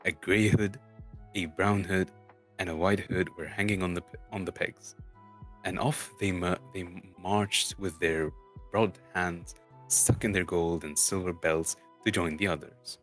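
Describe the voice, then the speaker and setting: Low-voiced man haltingly narrating a storybook. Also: low-quality recording. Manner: halting, stumbles over words and repeats them. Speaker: streamer co-reading a novel aloud. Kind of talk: amateur dramatic storybook narration.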